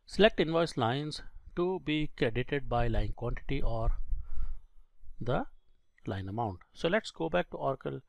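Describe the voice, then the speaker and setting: A man giving a step-by-step software tutorial as an instructor, speaking in a halting, explanatory way into a close microphone. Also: medium pitch, lively intonation, creaky, dry recording. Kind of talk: screen-recorded software tutorial.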